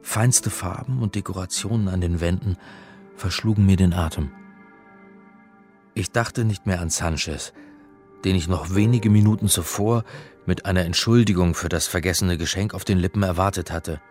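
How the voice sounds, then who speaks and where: An actor playing a reflective man who narrates his own adventure in a radio play, in measured literary style. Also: sits low, darkish tone, soft background music, lightly acted. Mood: awed, reflective. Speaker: first-person narrator.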